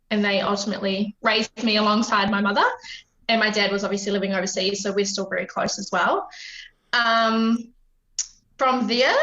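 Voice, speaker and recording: A young woman with a New Zealand accent talks through her upbringing in an open, reflective way, often pausing on "um." Her voice is bright and edgy. It comes over a remote call, muffled and echoing in a roomy space. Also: on the high side. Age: 20s. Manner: open, reflective, many "um" fillers. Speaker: podcast guest. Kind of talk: casual conversational storytelling.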